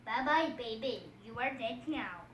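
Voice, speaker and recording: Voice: medium pitch, expressive, a little slow. A child improvising a puppet show, theatrically acting out the characters with exclamations. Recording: echoey room with some background noise.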